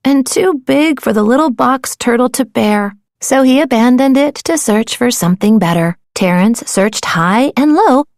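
A woman narrating a children's storybook in a dramatic, playful and deliberate way. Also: fairly high pitch, expressive, a little mellow, dry recording, studio quality. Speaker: audiobook narrator.

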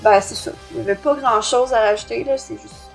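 A woman's voice: fairly high pitch, a little fast, vocal fry, faint music behind, poor audio. A woman with a Quebec French accent co-hosting a podcast, reviewing a book in a somewhat hesitant, self-correcting way. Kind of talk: book review, plot summary and discussion.

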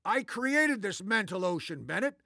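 An actor playing a smug, theatrical, easily exasperated older man from the future in an animated comedy. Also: high voice, moderate pace, gravelly, no echo, clean studio sound, heavily acted. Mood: gloating. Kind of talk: animated comedy character acting.

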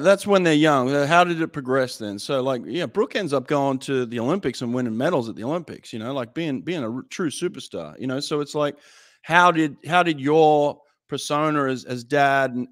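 A man in a middle pitch hosts a podcast interview from an office, wearing headphones with a studio mic in front of him. He asks long, easygoing questions and keeps the guest going with quick yeahs. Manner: relaxed, encouraging, many quick yeahs. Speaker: podcast host. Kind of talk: casual interview chat. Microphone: headset and studio mic on video call.

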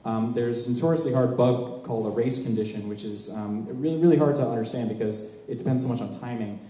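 A man giving a live demo talk to an audience as a software presenter, explaining in a halting way. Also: mid-range voice. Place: large open indoor event space, on a small stage before a seated audience. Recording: echoey hall with long reverb.

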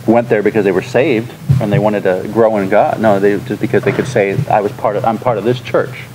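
A man in his thirties, a pastor, leading a relaxed classroom discussion with lots of fillers, recorded in a church classroom by a room camera. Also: on the low side, fairly quick, music in the background, low-quality recording. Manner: relaxed, chatty, frequent fillers and laughs. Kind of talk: casual teaching discussion.

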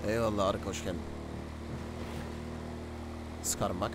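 A man in casual game roleplay chat, bossy and excitable, talking in slang like the boss of a crew. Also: lowish voice, unhurried, heavy background noise. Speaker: gaming video host and gang boss in roleplay.

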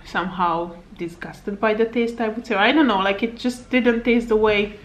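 A woman's voice: mid-range voice, fairly even intonation, normal speed, low-quality recording. A woman in her thirties from Slovakia, a native Hungarian speaker with a Hungarian accent, chatting casually to camera at home as a fitness coach, rambling with fillers and little laughs. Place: home living room, indoors. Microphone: camera on table at arm's length.

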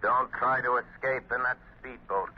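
An actor playing an ominous, commanding crime-fighting avenger in a 1930s radio drama, grave and taunting. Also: male voice, medium pitch, fairly even intonation, normal speed, lightly acted. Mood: warning. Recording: muffled, hissy 1930s radio broadcast.

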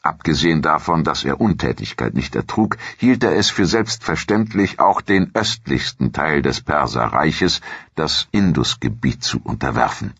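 Man, extremely low voice, documentary narration, measured and dramatic, dry but slightly dull recording. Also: animated, vocal fry, a bit bright, husky, dry recording. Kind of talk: scripted historical documentary narration.

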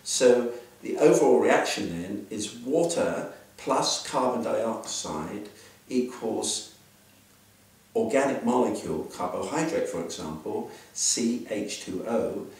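Older male scientist, low gravelly voice, halting delivery, roomy echo, set back from the mic. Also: lively intonation, darkish tone, distant mic. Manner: halting, many fillers and restarts. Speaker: scientist interviewee. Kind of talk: explaining to an interviewer.

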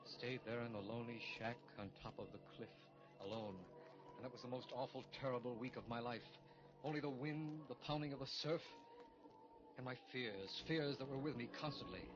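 A man's voice: mid-range voice, a little fast, noisy background, close to an everyday voice. An actor playing a shaken radar scientist who narrates his ordeal in a somber, haunted manner in a 1950s-style radio drama. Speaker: narrator and lead character. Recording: dull old radio recording with music.

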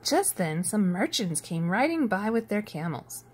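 Woman, storybook reader, very lively pitch, a little husky, expressive read-aloud. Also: measured pace. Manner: animated, storytelling delivery. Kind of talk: expressive read-aloud of a children's picture book.

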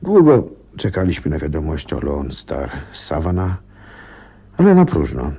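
Loyal reflective companion, radio drama, husky voice, expressive melody, measured and attentive. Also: male voice, very deep voice, full character voice. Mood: reflective, solemn. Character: detective's loyal, curious male companion, plain-spoken and reflective. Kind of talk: Polish radio drama acting and narration.

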